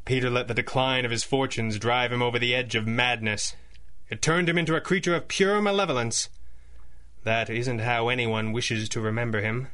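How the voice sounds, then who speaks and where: Man acting a bright, suave radio superhero. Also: mid-range voice, fairly even intonation, moderate pace, lightly acted. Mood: sober. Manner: formal, smooth-talking, theatrically composed, droll. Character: suave, confident masked superhero and mentor, wryly amused. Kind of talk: 1930s-style radio adventure serial acting.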